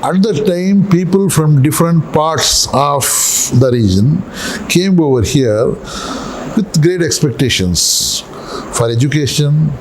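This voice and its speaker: Guy explaining stuff slowly, repeats his points, bit high voice, faint room hum. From Tamil Nadu, India. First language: Urdu. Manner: measured, explanatory, repeats key phrases. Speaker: interview guest, local historian. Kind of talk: unscripted interview answers.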